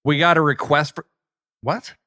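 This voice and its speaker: A man co-hosting a podcast, plugging the show in a steady, matter-of-fact way with dry jokes. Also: a little high, normal speed, no room sound. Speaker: podcast co-host. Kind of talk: casual chat, show plugs.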